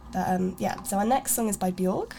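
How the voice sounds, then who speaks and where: A woman's voice: medium pitch, normal speed, vocal fry, mellow, a little hiss or hum. A woman from Sweden hosting a casual art chat, chatty, with many fillers and restarts. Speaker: radio show host.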